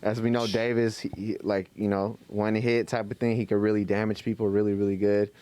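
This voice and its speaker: A man's voice: on the low side, flat delivery, brisk, dark tone, smooth. A young man co-hosting a podcast, talking in a laid-back, casual way, swearing and trailing off into "you know what I'm saying.". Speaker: podcast co-host. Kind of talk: casual chat.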